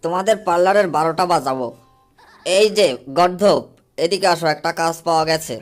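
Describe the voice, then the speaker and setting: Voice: mid-range voice, brisk, lightly acted. A performer voicing a gleeful, mischievous cockroach in a comic cartoon dub, taunting and gloating over music. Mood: scheming. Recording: music under the voice.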